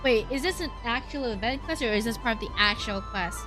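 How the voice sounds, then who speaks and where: A woman with a fairly high voice chats her way through a game stream. Her commentary is casual, rambling and slangy, with game music clearly audible behind her. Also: lively intonation, fairly quick. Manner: rambling, chatty, slangy reactions. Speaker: streamer playing a video game.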